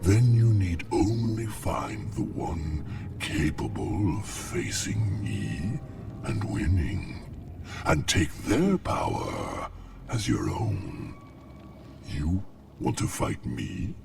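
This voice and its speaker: A man's voice: low voice, wildly swooping pitch, slow, full character voice. A man voicing a taunting, menacing dragon villain in a video game, with a growling, theatrical, mocking delivery. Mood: sly goading. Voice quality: gravelly, breathy growl. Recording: music behind the voice. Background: clear music.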